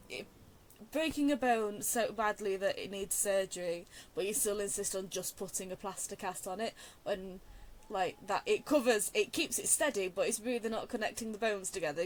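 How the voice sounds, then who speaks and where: A woman's voice: medium pitch, low-quality recording. A young woman giving casual game commentary, earnest and hesitant as she thinks aloud. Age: young adult. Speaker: game streamer. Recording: faint game music behind. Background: game music.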